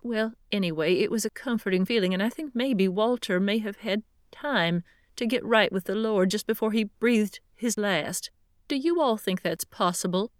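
A woman's voice: medium pitch, no room sound, studio quality, pitched above the performer's own voice, lightly acted. A woman doing a tearful, devout older widow. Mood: earnest. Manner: quick, earnest, tearful questioning.

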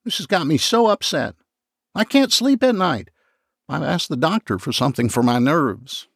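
A man's voice: fairly high pitch, big pitch swings, normal speed, breathy edge, no room sound, clean studio sound, voice pushed up, full character voice. A man voicing a nervous, tearful man who pleads and nearly sobs as he fears for his job. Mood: tearful pleading. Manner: pleading, whiny, on the verge of sobbing.

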